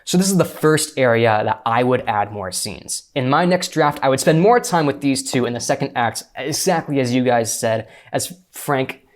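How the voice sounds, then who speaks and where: Guy on a panel giving prepared story notes, articulate and orderly, mid-pitched voice. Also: expressive, normal speed, hardly any pauses. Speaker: script consultant on a discussion panel. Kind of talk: prepared critique in a panel discussion.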